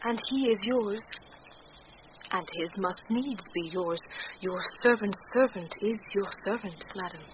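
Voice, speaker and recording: A woman's voice: medium pitch, unhurried, lightly acted. A British woman playing a witty, courteous heroine disguised as a young male page, speaking formal Shakespearean verse in a radio drama. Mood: humble, earnest. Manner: formal, poised verse delivery. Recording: muffled 1990s radio broadcast, clear noise.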